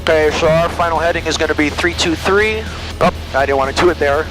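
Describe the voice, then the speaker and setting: A man's voice: a little high, fast, over music, poor audio. A middle-aged American man training as a pilot, talking through procedures and making radio calls over an aviation headset. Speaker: student pilot. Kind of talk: cockpit talk and radio calls. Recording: aviation headset audio with engine noise.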